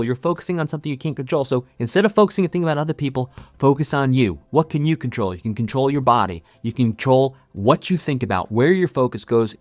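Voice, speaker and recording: Male music coach, slightly high voice, emphatic motivational explainer who repeats key phrases, recorded close and dry. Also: dry recording. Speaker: music coach and channel host. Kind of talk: motivational talk to camera, explaining.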